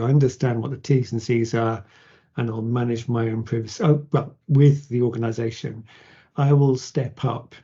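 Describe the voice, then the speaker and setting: A man's voice: mid-range voice, mellow, slightly rough. A man hosting a podcast interview, casual and joking in his questions. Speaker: podcast host. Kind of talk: conversational interview. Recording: dull lo-fi sound.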